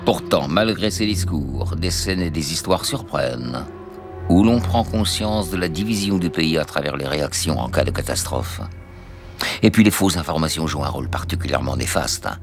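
A man giving a measured, serious scripted documentary narration. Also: extremely low pitch, expressive. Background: music.

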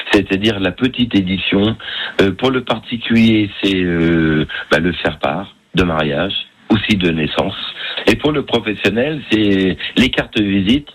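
Husky, low-voiced man with halting speech. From Paris suburbs, France. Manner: thoughtful, hesitant with many pauses and fillers. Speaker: printer interviewed on radio. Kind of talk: radio interview.

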